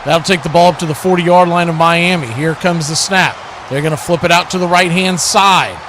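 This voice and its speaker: Male football play-by-play host, high voice, live stream with clear background noise. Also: fairly even intonation, normal speed. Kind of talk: live American football commentary.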